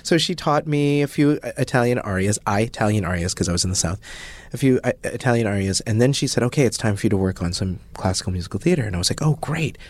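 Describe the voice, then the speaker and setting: A man as a podcast guest, telling a story in an animated, self-mocking way. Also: on the low side, fast talker. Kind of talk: casual storytelling.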